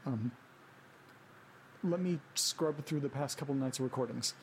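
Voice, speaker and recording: A man playing an anxious, confused character who talks to himself haltingly in a naturalistic audio drama monologue. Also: medium pitch, a little hiss or hum, lightly acted. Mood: agitated, baffled. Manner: halting, repeating himself, muttering. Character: anxious man named Nate straining to recover lost memories.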